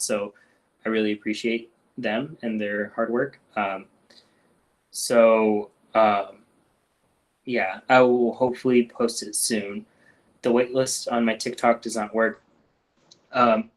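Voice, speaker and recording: A man hosting a casual live-stream Q&A as a doctor, talking loosely with lots of ums and restarts and letting his sentences trail off quietly. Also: medium pitch, poor audio. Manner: relaxed, frequent ums and restarts, trails off softly.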